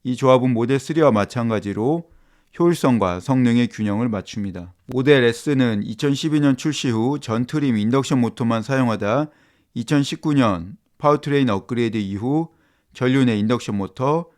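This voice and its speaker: A man reading scripted explainer narration in a formal, measured manner. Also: medium pitch, a little mellow.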